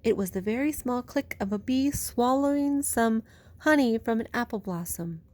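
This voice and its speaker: A woman reading a storybook aloud to children, with expressive, drawn-out storytelling. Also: on the high side.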